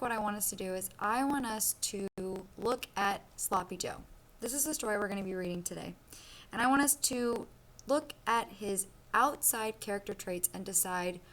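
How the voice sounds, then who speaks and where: A woman's voice: fairly high pitch, animated, normal speed, a bit bright. A woman teacher leading a read-aloud lesson for children, explaining step by step in simple words. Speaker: elementary school teacher.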